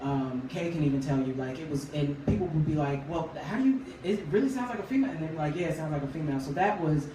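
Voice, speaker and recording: A man with a high voice, a guest singer talking casually in a radio interview. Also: quick pace, few pauses. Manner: relaxed, chatty, laughs easily. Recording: roomy, distant mic, faint noise.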